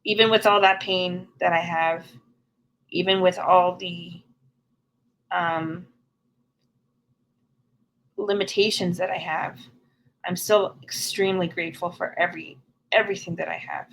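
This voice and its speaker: A woman's voice: on the low side, steady tone, unhurried, pauses often, fairly bright tone, slight background noise, low-quality recording. A middle-aged woman from Canada, speaking earnestly and frankly as a guest in an interview. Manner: earnest, frank and plain-spoken. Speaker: podcast guest. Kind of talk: candid conversational interview.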